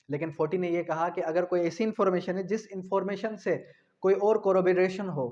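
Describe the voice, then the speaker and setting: A man's voice: sits high, darkish tone. A man with a Pakistani accent teaching law, explaining point by point in a didactic way and posing questions that he answers himself. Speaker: law lecturer. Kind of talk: law lecture explaining articles clause by clause. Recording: dull, lo-fi audio.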